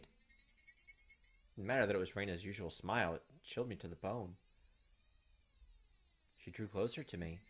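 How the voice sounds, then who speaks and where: A man hosting a game video, reading visual novel text aloud with slow suspense and dropping into casual commentary. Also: lowish voice. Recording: clearly noisy home recording, clicks, insect sounds.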